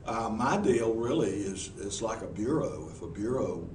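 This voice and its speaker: A man's voice: lowish voice, animated, moderate pace. Man, Southern accent, professional speaker, folksy, restarts, distant mic, roomy noisy recording. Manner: folksy and earnest, with frequent restarts. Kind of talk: answering interview questions before a group. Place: meeting room with an audience. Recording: echoey room, mic far off, noisy.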